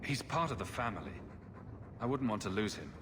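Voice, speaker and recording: Wry, animated male adventurer. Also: lowish voice, a little fast, background noise. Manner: sarcastic, dry-witted. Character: wry, sardonic young male adventurer hero.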